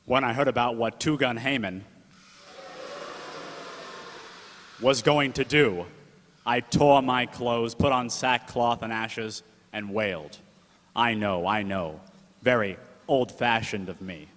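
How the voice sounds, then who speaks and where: A man's voice: mid-range voice, measured pace, fairly bright tone, close to an everyday voice. Man playing a defiant uncle, echoey hall. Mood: sorrowful. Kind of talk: old-time gangster radio play acting. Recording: echoey hall with music behind.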